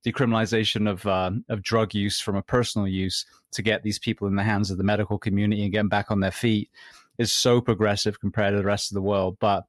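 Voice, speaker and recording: A man's voice: lowish voice, little pitch movement, quick pace, no echo, clean studio sound. A man hosting a podcast, asking easygoing, curious questions. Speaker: podcast host. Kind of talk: interviewer chat.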